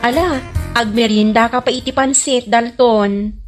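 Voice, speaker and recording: A woman's voice: fairly high pitch, steady tone, measured pace, over music, close to an everyday voice. A woman acting an earnest, slighted street noodle seller with an Ilocano accent in a comedy radio drama. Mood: sympathetic.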